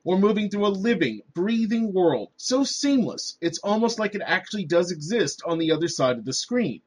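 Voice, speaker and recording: A man narrating a scripted opinion essay in a formal, rhetorical manner. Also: high voice. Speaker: host reading an opening essay.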